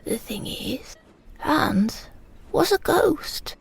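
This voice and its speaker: A woman playing a frail, wistful elderly British mother remembering her wartime childhood in a hushed, breathy audio drama monologue. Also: high-pitched, big pitch swings, no room sound, exaggerated voice. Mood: confiding. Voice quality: breathy, whispery. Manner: slow, hushed reminiscing.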